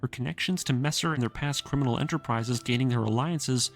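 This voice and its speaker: A man's voice: mid-range voice, a little fast. A man narrating a scripted retelling and analysis as a video essayist, earnest and opinionated, with informal asides. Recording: music behind the voice. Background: background music.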